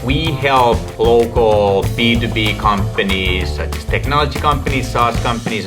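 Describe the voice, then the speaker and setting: Low-voiced Finnish man vlogging to camera. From Finland. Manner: earnest, thinking aloud with pauses and restarts. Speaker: agency founder and vlogger. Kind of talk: solo vlog talk to camera.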